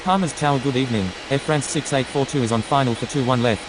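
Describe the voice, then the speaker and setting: A man's voice: mid-range voice, fast talker. Man on noisy airline radio. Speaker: airline pilot on air traffic radio. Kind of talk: scripted radio phraseology. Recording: loud noisy hiss.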